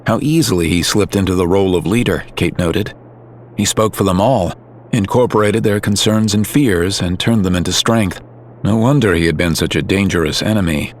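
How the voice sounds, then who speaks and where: A man's voice: sits low, moderate pace, a little hiss or hum. A man reading scripted dramatic narration for an audio drama.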